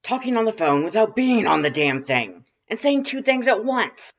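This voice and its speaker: A reader voicing a loud, domineering skeleton younger brother, gravelly and irritated, barking curses in an audiobook-style story. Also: medium pitch, sing-song, normal speed, pitched above the performer's own voice. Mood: irritated, yelling. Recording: dull lo-fi recording.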